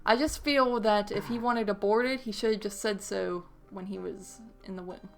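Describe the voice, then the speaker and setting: A woman's voice: medium pitch, steady tone, normal speed, vocal fry, soft background music. A woman co-hosting a podcast, bantering casually in a blunt, slangy way. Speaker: podcast co-host.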